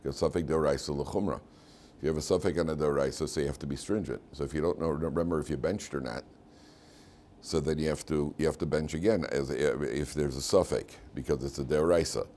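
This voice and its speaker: Casting: American male rabbi, extremely deep hoarse voice, halting informal Torah-class delivery with frequent repeats, faintly noisy recording. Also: fairly even intonation, a little mellow. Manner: halting, repeats words and restarts often. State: hoarse from medication. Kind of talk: informal religious lesson.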